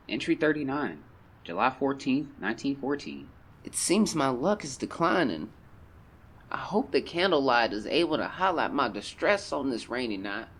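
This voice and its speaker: Melodramatic child diarist, 1910s adult actor; husky voice, very varied intonation, old-fashioned formal diction. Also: mid-range voice, full character voice. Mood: distressed. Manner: formal, plaintive, expressive. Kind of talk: audio drama diary reading, old-fashioned diction.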